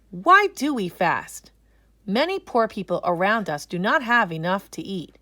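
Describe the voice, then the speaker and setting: A woman narrating a children's storybook aloud, expressive and explaining things for young listeners. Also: mid-range voice, dry recording, clean studio sound.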